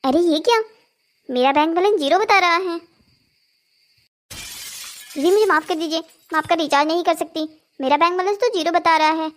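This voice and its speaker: High-pitched melodramatic young daughter-in-law played by a woman. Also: brisk, pauses often, fairly bright tone. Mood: worried, chatty. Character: young daughters-in-law, shopping-obsessed and quick to complain. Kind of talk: Hindi cartoon family drama dialogue.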